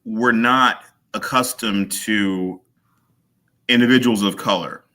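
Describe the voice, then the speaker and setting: A man's voice: lowish voice, slow pace, pushed and bright. A man from Virginia, a guest author in an interview, who talks in a thoughtful, hesitant way with many fillers. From Virginia, USA. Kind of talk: casual interview.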